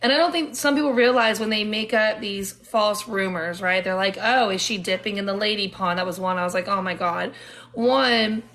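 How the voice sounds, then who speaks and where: A woman's voice: medium pitch, moderate pace, a bit bright. A woman hosting a casual interview, chatty and sympathetic toward her guest. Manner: sympathetic, chatty, laughs along. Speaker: podcast host.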